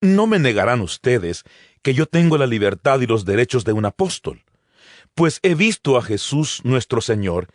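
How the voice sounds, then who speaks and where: Actor como apóstol serio, muy expresivo. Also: male voice, mid-range voice, natural voice. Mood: emphatic, defensive. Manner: measured, emphatic, didactic. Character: earnest male apostle addressing his congregation. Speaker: narrator of an audio Bible. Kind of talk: dramatized scripture reading. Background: background music.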